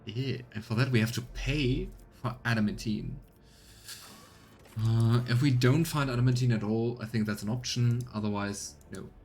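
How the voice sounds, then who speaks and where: A man's voice: medium pitch, moderate pace, a little mellow, slight background noise. A man giving casual let's play commentary as he streams a video game. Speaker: streamer playing a video game and voicing its text.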